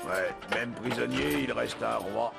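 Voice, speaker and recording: A man voicing a grave royal attendant with a very gravelly voice in a cartoon. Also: medium pitch, unhurried, over loud music.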